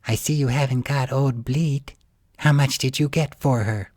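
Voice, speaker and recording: A man voicing a female demon keeper from a bedtime storybook, theatrical and expressive. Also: medium pitch, a little slow, no room sound, studio quality, voice pushed up, natural voice. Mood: expectant. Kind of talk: storybook character in bedtime audio.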